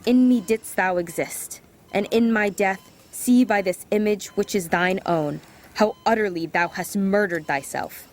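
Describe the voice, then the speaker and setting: A woman's voice: mid-range voice, measured pace, natural voice. A woman playing a dutiful American teenage girl in an audio drama. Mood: reading aloud, solemn. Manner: hesitant, apologetic. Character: hesitant, dutiful teenage girl visiting a cranky elder.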